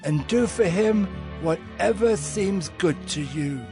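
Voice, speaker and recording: A very old man in a scripture drama, humble and weary. His voice creaks and moves very slowly over clear background music. He is deferential and courteous throughout. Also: high-pitched, lively intonation, heavily acted. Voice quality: creaky, aged voice. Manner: slow, halting, deferential.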